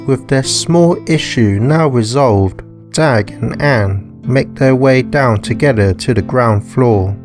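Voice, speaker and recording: A man narrating a scripted story in a measured, formal reading style. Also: mid-range voice, a little mellow, faint music behind. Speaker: narrator and author.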